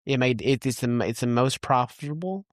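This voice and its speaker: A man's voice: mid-range voice, animated, normal speed, no room sound, clean studio sound. A man co-hosting a podcast in casual movie chat, rambling and blunt, swearing freely. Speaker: podcast co-host.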